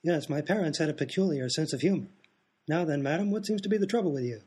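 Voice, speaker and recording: A man acts out a clueless doctor in a radio comedy. He has a high, slightly raspy voice and speaks with formal, unhurried courtesy, oblivious to everything. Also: expressive, a little mellow. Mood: formal, cheerful. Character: bumbling, oblivious male doctor, politely clueless.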